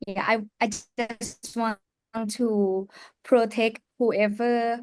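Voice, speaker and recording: Slow, halting Thai-accented young woman. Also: on the high side, fairly even intonation, darkish tone, no room sound, rough recording. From Thailand. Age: young adult. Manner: earnest, halting, with many fillers. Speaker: youth ambassador. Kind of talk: personal story on a live panel.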